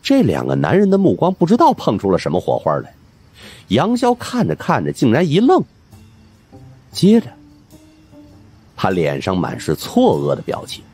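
Husky, highly animated male storyteller. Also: moderate pace, long pauses, soft background music. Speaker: audiobook narrator. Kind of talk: dramatic scripted narration of a supernatural mystery.

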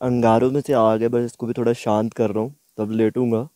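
A young man chatting excitedly to viewers while camping on a mountain meadow, filmed on an action camera. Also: mid-range voice, fairly quick, no echo, studio quality. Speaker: travel vlogger. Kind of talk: casual camping vlog. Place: outdoors on a mountain meadow by a campfire.

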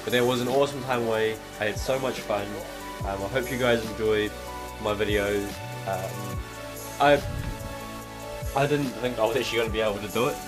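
A young man, croaky with a cold, chatting upbeat to camera in his living room, filming at arm's length. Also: fairly low pitch, brisk, music in the background, poor audio. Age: young adult. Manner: chatty, upbeat, filler-heavy. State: hoarse with a cold. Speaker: vlogger. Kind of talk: casual vlog chat to camera. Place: living room at home, indoors.

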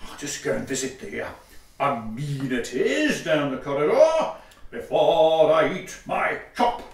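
A man playing a pompous, excitable Victorian hatter in an audio farce, declaiming and exclaiming theatrically. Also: a little high, a little slow, distant mic. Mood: indignant, astonished. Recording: roomy echo, away from the mic.

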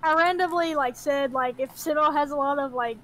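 A woman's voice: sits high, brisk, a bit bright, a little hiss or hum, poor audio. A woman playing along on a gaming stream, giving bossy directions and giggling often. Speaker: fellow player. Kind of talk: casual gaming chat.

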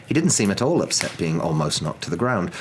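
A young man reading a story aloud as a dramatic, expressive ASMR narrator. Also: low voice, fairly quick, slight background noise.

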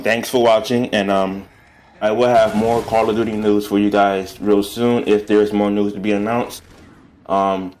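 A man giving casual gaming news commentary as a YouTuber, with a halting, hesitant delivery. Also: fairly low pitch, darkish tone, a little hiss or hum.

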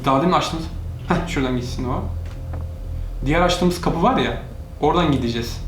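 Fast-talking man doing gaming commentary, echoey room. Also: on the high side. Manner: narrates each move to viewers. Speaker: gaming channel host, parent playing with child. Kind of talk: casual let's-play commentary. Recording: echoey room, faint noise.

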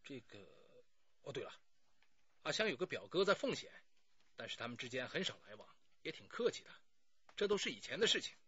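A man's voice: medium pitch, very animated, brisk, pauses often, bright, forceful tone, husky, dry recording, muffled recording, heavily acted. An actor playing a talkative, self-justifying middle-aged man under police questioning in a radio drama, pleading and sighing as he explains. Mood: frank, defensive.

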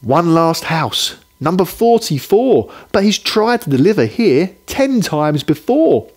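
A man narrating a rhyming children's story in an expressive, scripted style. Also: a little high, slow.

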